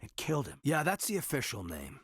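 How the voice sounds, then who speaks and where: An actor playing an earnest, guarded soldier in his thirties in a video game, speaking in a husky, measured, introspective way. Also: medium pitch, wildly swooping pitch, fairly bright tone, no echo, clean studio sound, heavily acted. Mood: reflective, matter-of-fact. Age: 30s. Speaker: video game companion character. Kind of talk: naturalistic game dialogue acting.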